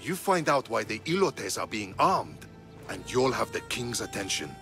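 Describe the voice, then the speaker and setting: A man playing a shrewd, earnest Spartan officer in a video game cutscene, deliberate and persuasive. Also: fairly low pitch, big pitch swings, gravelly, pushed and bright, faint music behind, heavily acted. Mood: urgent, persuasive.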